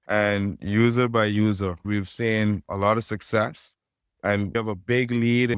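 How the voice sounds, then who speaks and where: A man with a Caribbean accent, a fintech co-founder speaking as a podcast guest in a steady, businesslike way. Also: clear, resonant tone, dry recording, clean studio sound. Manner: steady, businesslike pitch with stacked phrases. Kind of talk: podcast interview.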